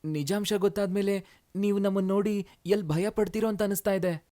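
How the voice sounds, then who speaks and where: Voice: mid-range voice, steady tone, brisk, warm tone, natural voice. A narrator voicing an earnest, polite young male demon in human form for a story. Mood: confessing. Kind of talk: animated moral story dialogue.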